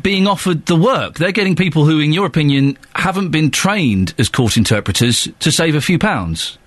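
A male radio presenter who reads news links briskly and questions guests informally. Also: on the high side, animated, no echo.

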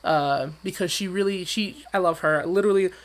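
A man who sings professionally, talking as an interview guest with a high voice and an animated, storytelling manner. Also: moderate pace. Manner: animated storyteller, acts out quotes with big leaps in pitch. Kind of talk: conversational interview.

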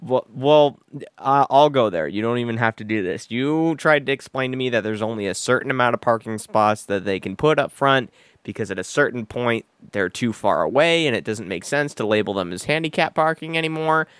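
A man's voice: medium pitch, animated, brisk, fairly bright tone, smooth, no room sound. A man co-hosting a podcast in casual, irreverent banter, joking and ranting with plenty of profanity and sarcasm. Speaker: podcast co-host.

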